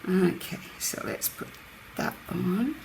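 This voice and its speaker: A woman hosting a card-making demonstration, chatting through each step with a croaky voice. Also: on the low side, animated, takes it slowly, darkish tone. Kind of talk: casual craft demonstration. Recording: roomy, mic at a distance, faint background noise. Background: birds outside.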